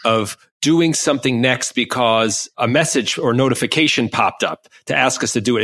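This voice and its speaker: A man co-hosting a podcast, making his points in a measured, reflective way. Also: mid-range voice, fairly bright tone, no echo. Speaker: podcast co-host. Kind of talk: conversational podcast discussion.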